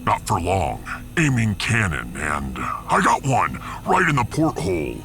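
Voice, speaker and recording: A gruff, cocky male spaceship gunner, put on by a male performer, low and gravelly. He drawls slowly and loyally to his captain in a sci-fi audio drama, with music clearly behind him. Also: huge pitch swings, sharp tone, heavily acted. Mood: cocky, gleeful.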